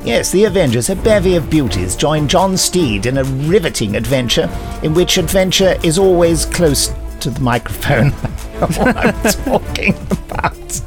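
Man, mock-grand podcast co-host, slightly high, very expressive, music clearly under. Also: normal speed. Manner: mock-pompous, wry. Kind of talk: mock-formal trailer presenting.

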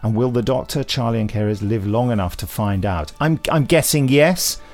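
Man, podcast host, mid-pitched, creaky, digressive, wry casual chat. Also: moderate pace, darkish tone, soft background music.

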